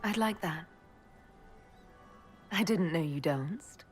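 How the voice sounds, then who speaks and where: An actress playing a young, devoted female elf warrior in a video game, sincere and soft-spoken. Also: fairly low pitch, slow pace, faint music behind.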